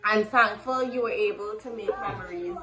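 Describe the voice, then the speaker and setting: A woman's voice: mid-range voice, moderate pace. A woman giving a personal farewell tribute to a family member. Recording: echoey room, faint music behind.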